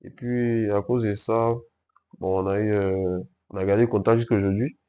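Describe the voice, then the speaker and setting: A young man from Ivory Coast answers interview questions in a calm, unhurried way. He hesitates often and circles back to finish his thoughts. His voice is on the low side. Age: young adult. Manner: hesitant, calm, frequent fillers and restarts. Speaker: guest entrepreneur.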